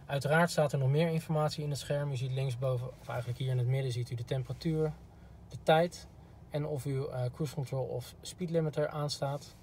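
A man's voice: mid-range voice, fairly even intonation, brisk, a little mellow. A man giving a polite, informal instructional demo as a car product expert, recorded inside a parked car. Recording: clear background noise.

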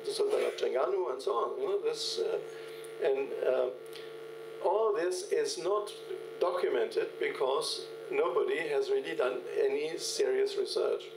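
An older man giving a keynote lecture from a podium, telling field anecdotes in a halting way with many fillers and restarts. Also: medium pitch, vocal fry. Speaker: keynote speaker. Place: large lecture hall at a podium. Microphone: podium microphone, recorded from the hall. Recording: echoey hall, distant, mains hum.

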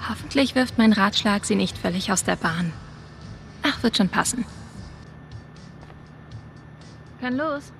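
A woman's voice: medium pitch, animated, moderate pace, gravelly, a bit bright, soft background music. Game lead: young woman, dry and friendly, mid-range voice, natural delivery. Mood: reflective. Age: young adult. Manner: dry, casual. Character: wry, friendly young woman, long separated from her brother. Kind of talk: naturalistic video game dialogue and inner monologue.

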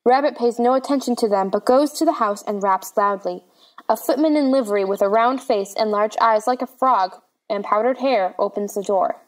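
A woman's voice: a little high, moderate pace, poor audio. A woman reading stage directions as the narrator, in scripted narration.

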